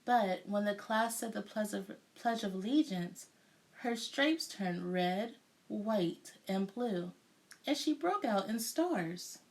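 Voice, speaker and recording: A woman's voice: medium pitch, animated, slow, low-quality recording. A woman narrating a children's storybook aloud expressively, as a teacher would.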